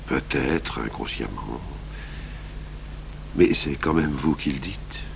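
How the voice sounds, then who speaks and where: An actor playing a calm, shrewd middle-aged police commissioner in a radio crime drama, speaking in a measured, deliberate, probing way. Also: male voice, rumbling low, exaggerated voice. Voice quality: gravelly. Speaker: lead detective and first-person narrator. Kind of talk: 1980s French radio crime drama acting. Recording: old radio broadcast recording, faint hum and hiss.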